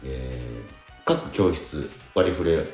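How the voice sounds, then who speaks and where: A middle-aged man hosting a radio show, chatting casually and telling things in halting pieces. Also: rumbling low, a little mellow, echoey room, soft background music. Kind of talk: casual chat. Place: small tatami dressing room at a theatre.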